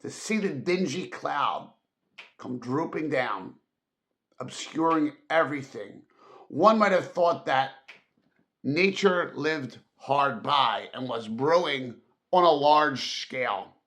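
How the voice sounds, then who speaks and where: A man reading a classic story aloud as narrator, with dramatic, expressive and emphatic phrasing. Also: mid-range voice, slow pace. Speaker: audiobook narrator.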